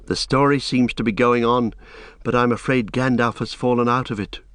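A man's voice: mid-range voice, fairly even intonation, normal speed, fairly bright tone, no room sound, clean studio sound, pitched above the performer's own voice, lightly acted. A man voicing a sad, subdued young hobbit in an audiobook. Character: small young male hobbit, sad and grieving.

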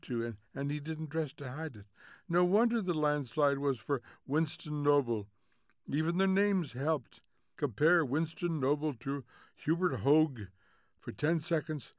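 A man's voice: fairly high pitch, measured pace, dry recording, studio quality, pitched above the performer's own voice, natural voice. A man voicing a flighty, complacent housewife who prattles smugly in an audiobook. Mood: gossipy, scornful. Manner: gossipy, self-satisfied prattle. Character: flighty, complacent society housewife, smug and chatty. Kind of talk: audiobook character voice.

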